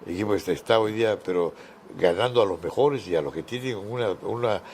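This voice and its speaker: A man hosting an informal interview, chatty and quick to agree. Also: on the low side, a little mellow, slight background noise. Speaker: interview host.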